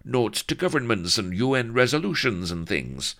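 A man acts out a comic storybook colonel, the reluctant sidekick to a hero. He is exasperated and cynical, his pitch swinging well up as he complains in everyday slang. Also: very animated, moderate pace, fairly bright tone. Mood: exasperated protest. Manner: colloquial, exasperated, protesting.

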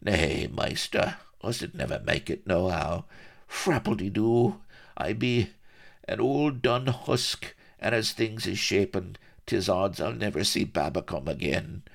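A man's voice: lowish voice, animated, measured pace, creaky, pitched above the performer's own voice, full character voice. A man voicing a ragged, wizened old male castaway who blusters in a quavering yokel way. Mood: maudlin. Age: older.

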